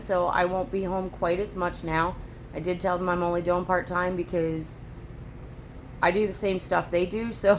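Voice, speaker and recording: Raspy low-voiced woman vlogging at home. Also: a little hiss or hum. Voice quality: raspy from allergies. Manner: chatty, rambling, down-to-earth. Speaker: homesteading vlogger. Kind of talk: casual chat to camera. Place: at home on a rural homestead. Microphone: phone camera, later on a tripod.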